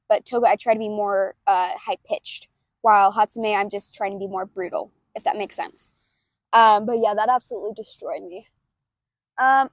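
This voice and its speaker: A woman with a high-pitched voice narrates like a hobby audiobook reader. Her delivery is expressive and informal. The sound is dry, free of room reflections. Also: no echo. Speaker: amateur fan fiction reader. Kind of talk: expressive story narration and casual asides. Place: outdoors in a park near a road.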